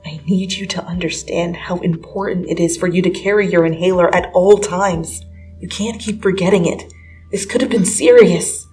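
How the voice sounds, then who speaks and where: A woman's voice: fairly low pitch, steady tone, moderate pace, mellow, lightly acted, poor audio. A woman voicing a protective, drily sarcastic teenage boy best friend in a dramatic fan fiction reading over music. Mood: gentle, worried. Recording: music behind the voice.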